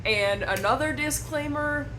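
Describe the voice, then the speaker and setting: A young woman from Wisconsin, slightly hoarse from a cold, chatting to camera at home in a rambling, self-deprecating way as a beauty vlogger. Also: high-pitched, a little slow, fairly bright tone, slight background noise. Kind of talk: casual chat to camera. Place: at home indoors.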